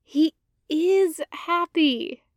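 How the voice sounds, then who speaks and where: Reader lady doing a blunt no-nonsense young woman in a story, pitched high, dry audio. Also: drawn-out words, dry recording, exaggerated voice. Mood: reassuring. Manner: blunt, interrogating, incredulous. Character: sharp, exasperated young woman, no-nonsense sidekick. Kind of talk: storybook character voice.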